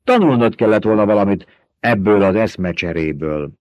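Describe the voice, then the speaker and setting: A man voicing a scheming, gluttonous older baron in an audiobook, mocking and theatrical, with booming emphasis, in a dry, close recording. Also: animated, dry recording, lo-fi sound, lightly acted. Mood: lecturing. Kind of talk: audiobook character voice.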